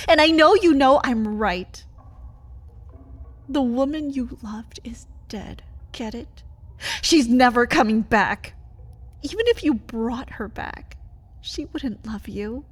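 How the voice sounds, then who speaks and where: High-voiced, desperate caged vampire woman, acted by a woman. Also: lively intonation, slow, heavily acted. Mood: angry, despairing. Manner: dramatic, swinging between breathy whispers and pleading outbursts. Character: caged vampire woman, desperate, bitter, pleading lover turned feral captive. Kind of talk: ASMR roleplay monologue.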